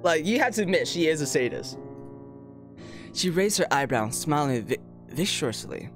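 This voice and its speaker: Lively man streaming a visual novel over music. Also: a little high, normal speed. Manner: informal, reacts with amused disbelief to what is read. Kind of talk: casual playthrough commentary, reading a visual novel aloud. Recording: music playing behind the voice.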